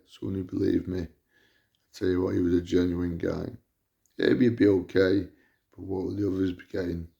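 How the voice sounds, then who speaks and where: A man with a loud voice, delivering an indignant, repetitive rant to camera as a vlogger. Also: deep voice, creaky. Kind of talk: ranting monologue to camera.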